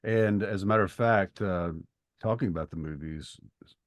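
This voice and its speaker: A man in his fifties co-hosting a podcast, talking reflectively and thoughtfully with pauses between phrases. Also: deep voice, no room sound. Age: 50s. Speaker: podcast co-host. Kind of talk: reflective conversational podcast talk.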